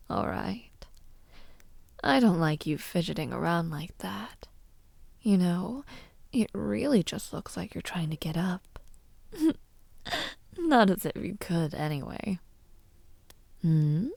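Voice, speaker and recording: A woman playing a haughty, mocking young villainess princess in an audio roleplay, condescending and teasing, often dropping to a breathy murmur. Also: lowish voice, darkish tone, dry recording, exaggerated voice. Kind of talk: audio roleplay acting, addressing the listener.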